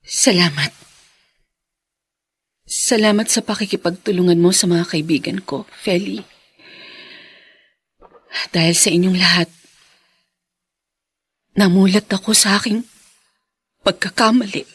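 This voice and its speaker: An actress playing a forgiving, devout widow in a radio drama, speaking earnestly and with feeling. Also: female voice, mid-range voice, a little slow, pauses often, slightly rough. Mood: regretful, grateful.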